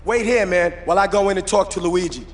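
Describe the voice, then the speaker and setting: An actor playing a friendly, street-smart escaped convict in a crime video game, using casual street talk. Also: male voice, high voice, quick pace. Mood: urgent, friendly.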